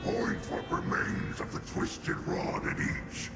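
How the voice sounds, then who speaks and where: An actor voicing a mighty, proud, wrathful monster in a fantasy video game, commanding and bombastic. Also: male voice, takes it slowly, over loud music, natural voice.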